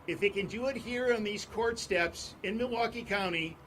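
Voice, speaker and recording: A man speaking at an outdoor press conference on court steps, in a forceful, repetitive rally cadence. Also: high voice, moderate pace, a little hiss or hum, rough recording. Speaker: advocacy group speaker. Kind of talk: impassioned press conference speech.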